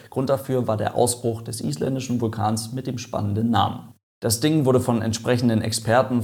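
A man's voice: medium pitch, a little mellow. A man hosting an online aviation video, explaining events in a chatty, lightly joking way. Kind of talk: casual explainer narration.

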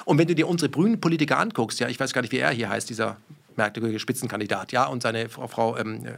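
Brief: man from Germany, rapid, emphatic, swears, fires rhetorical questions in conversation. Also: mid-range voice, creaky, fairly bright tone. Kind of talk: heated podcast conversation.

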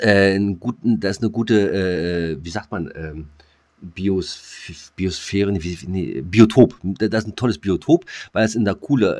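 A man's voice: sits low, no room sound. A man co-hosting a joking podcast chat, rambling with many fillers and restarts. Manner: rambling, full of ums and restarts. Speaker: podcast co-host.

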